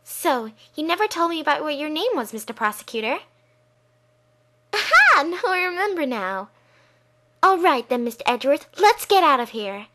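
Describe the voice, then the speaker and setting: A spirited young lady thief from a video game, acted by a woman. She is perky, teasing and theatrical, with a high, bright voice. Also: sing-song, moderate pace, slight background noise, heavily acted. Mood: excited. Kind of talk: video game fandub audition.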